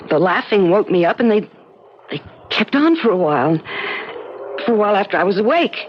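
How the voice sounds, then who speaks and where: Anxious, halting radio-drama wife, played by an actress. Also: female voice, mid-range voice, big pitch swings, close to an everyday voice. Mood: shaken, insistent. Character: frightened, insistent wife in a remote cottage. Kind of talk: 1970s radio drama acting. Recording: noisy, muffled old radio broadcast recording.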